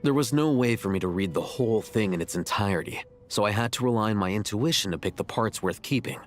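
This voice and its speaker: An actor playing a cool, rational scholar in a video game, blunt, deadpan and matter-of-fact. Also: male voice, fairly low pitch, fast talker, faint music behind, close to an everyday voice. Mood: explanatory. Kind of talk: video game dialogue acting.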